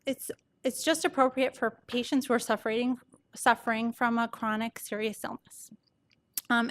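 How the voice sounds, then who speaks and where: A soft-voiced woman doctor gives an informal medical presentation to an audience, explaining things conversationally. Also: fairly high pitch. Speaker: palliative care doctor presenting.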